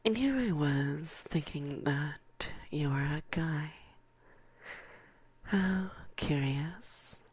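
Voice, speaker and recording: A woman's voice: low-pitched, darkish tone, slightly rough, dry recording, heavily acted. A woman playing a teasing, domineering forest witch in an ASMR roleplay, speaking breathily and close to a binaural microphone, slipping into whispers. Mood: coaxing, playful. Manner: teasing, condescending, slow and deliberate, often slipping into whispers.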